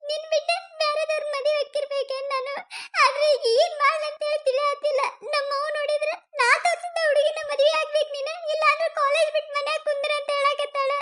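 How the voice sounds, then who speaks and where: A woman's voice: very high voice, steady tone, quick pace, fairly bright tone. A woman with a North Karnataka Kannada accent acting as a distressed young college girl pleading with her boyfriend. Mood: weeping. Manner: pleading, breaks into teary laughter. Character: young woman college student, anguished, torn over marriage. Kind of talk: amateur skit acting.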